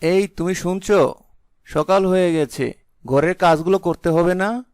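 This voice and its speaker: A man voicing a young village husband, blustering but easily cowed, with an exclaiming, melodramatic delivery in an animated folk tale. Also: high-pitched, measured pace, clear, resonant tone, dry recording, clean studio sound. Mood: puzzled, meek. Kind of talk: animated fairy-tale voice acting.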